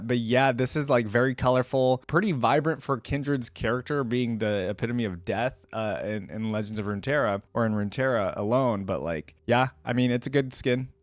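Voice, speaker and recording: A man's voice: medium pitch, no echo. A man hosting a reaction video, talking informally and thinking aloud as he gives his commentary. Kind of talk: casual reaction commentary, reading aloud at times.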